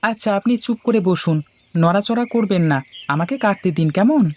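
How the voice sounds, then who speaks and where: A man plays a young husband from a cartoon, a barber with a fairly high voice. He is good-natured and eager to please, talking in a playful, teasing way. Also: moderate pace. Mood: busy, obliging. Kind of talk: Bengali cartoon dialogue.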